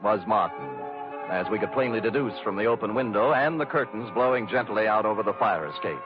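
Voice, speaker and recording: An actor playing a sardonic, deadpan private detective in a 1940s-style radio noir drama, narrating in the first person. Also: male voice, on the low side, a little fast, close to an everyday voice. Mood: wry narration. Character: wry, hard-boiled male private detective. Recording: dull vintage radio recording with music.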